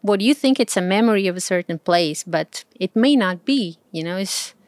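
A woman in her thirties from Portugal with a Portuguese accent, a travel blogger chatting as an interview guest, soft-spoken and hesitant, laughing often. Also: mid-range voice, animated, normal speed, dry recording. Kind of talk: casual interview chat.

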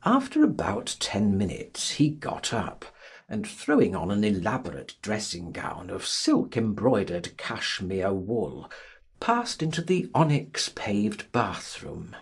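A man narrates classic fiction with theatrical care. His voice is very husky and his pitch moves dramatically up and down. He reads slowly and in a measured way. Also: huge pitch swings. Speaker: audiobook narrator. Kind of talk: dramatic literary audiobook narration.

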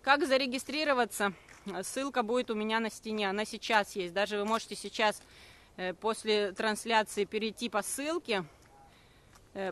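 Brisk, bright-voiced woman streaming outdoors. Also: mid-range voice. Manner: brisk, defensive, with many hesitations. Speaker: network marketing streamer. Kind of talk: live stream answering comments. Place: walking outdoors on a forest path. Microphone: phone at arm's length.

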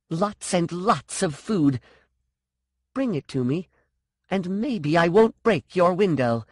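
A fierce warrior woman from an audiobook, acted out by a man, speaks in a raised pitch. She is angry and demanding, insistent and commanding, with little room sound around her. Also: dry recording, studio quality, heavily acted. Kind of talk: audiobook character dialogue.

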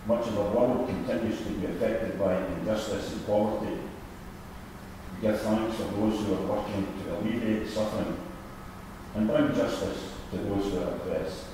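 An older man reading a formal prayer in solemn, measured phrases at a church lectern. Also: lowish voice, steady tone. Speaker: church member leading prayers. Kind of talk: formal read-out intercessory prayer. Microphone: gooseneck lectern microphone. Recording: echoey church hall, distant, noisy.

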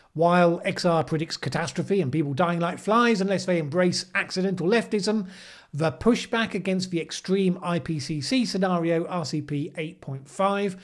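A middle-aged man hosting a commentary show, speaking to the camera in a sardonic way. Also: fairly high pitch. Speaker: host of a weekly news commentary show. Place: small indoor room, plain backdrop. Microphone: microphone on a desk boom arm.

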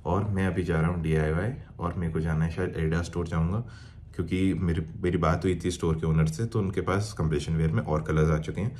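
A young man chats with his viewers in a relaxed, friendly flow, mixing in English phrases. His voice sits somewhat low. The sound is roomy and echoey, with a faint hum of ambient noise behind it. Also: brisk. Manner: chatty, friendly, sprinkles English phrases, calls viewers bhai and yaar. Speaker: fitness vlogger. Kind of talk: casual vlog chat to camera. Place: indoor rooms, a gym and a bedroom. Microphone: handheld phone selfie and fixed camera.

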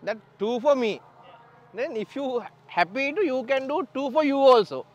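High-voiced Sri Lankan coach barking short drills. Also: male voice, moderate pace, dull audio. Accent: Sri Lankan English. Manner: short direct instructions in broken English, laughs often. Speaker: bowling coach. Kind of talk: coaching at net practice. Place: outdoor cricket nets at a training ground. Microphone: clip-on mic.

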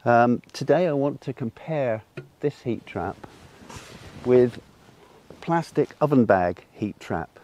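A man's voice: medium pitch, animated, slow, pauses often, darkish tone, lo-fi sound, a little hiss or hum. An older man with a lapel mic, explaining a demonstration outdoors in a garden in a patient way, with frequent ums. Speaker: solar cooking presenter. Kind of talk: unscripted demonstration.

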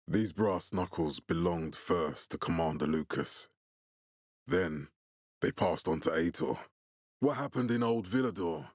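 Husky, commanding militia leader. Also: male voice, low-pitched, dry recording, studio quality, exaggerated voice. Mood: commanding, resolute. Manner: authoritative, measured, deliberate. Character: stern, commanding male militia leader, resolute and fatherly. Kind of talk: video game voice acting.

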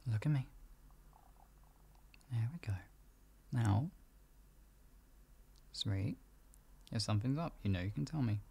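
A man acts out a doting boyfriend in an intimate ASMR roleplay. His voice is gravelly and husky at a medium pitch. He speaks softly and coaxingly, like a partner offering comfort and reassurance. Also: animated, slow pace, long pauses, heavily acted. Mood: gentle concern. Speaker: voice actor in audio roleplay.

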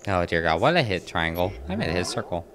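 Chatty low-voiced man narrating his gameplay. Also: big pitch swings, fast talker, slight background noise. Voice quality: loud voice held down to a murmur. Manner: chatty, rambling, talks back to enemies. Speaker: gaming channel host. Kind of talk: casual let's-play commentary. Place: home room next to a neighbor's bedroom. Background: faint game music.

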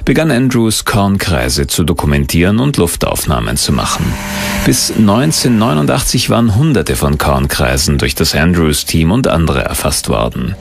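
A man's voice: low voice, big pitch swings, moderate pace, creaky, noisy background. A man narrating a scripted documentary.

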